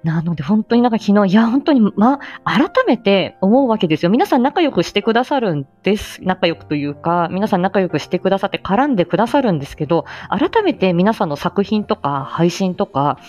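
Female livestream host, animated swooping intonation, modest hesitant delivery, slightly muffled audio over music. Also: medium pitch, fairly quick, few pauses. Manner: self-effacing, hesitant, giggly, trailing phrases. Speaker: amateur voice drama actor and livestream host. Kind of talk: casual reflective livestream chat.

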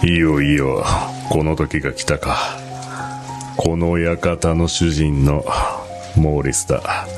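A grave, deep-voiced mansion master. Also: male voice, steady tone, takes it slowly. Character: stern male master of a mansion. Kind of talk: voice drama. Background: music and rain.

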